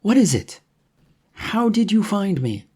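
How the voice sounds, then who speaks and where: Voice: mid-range voice, very animated, unhurried, warm tone, husky, pitched above the performer's own voice. A narrator voicing an exasperated, suspicious young male hero in an adventure audiobook, with an indignant, sarcastic, rambling manner. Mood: suspicious, agitated.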